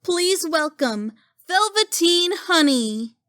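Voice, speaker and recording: A woman voicing a regal pony princess and mother, gentle and formal, in a cartoon drama. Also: very high-pitched, animated, slow pace, no room sound, full character voice. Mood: ceremonial. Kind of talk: animated fan drama voice acting.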